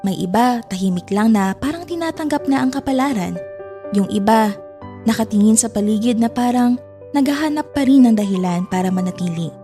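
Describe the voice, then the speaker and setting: A woman's voice: on the high side, lively intonation, a little fast, mellow. A woman narrating a scripted drama in the first person, reflective and sombre. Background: music.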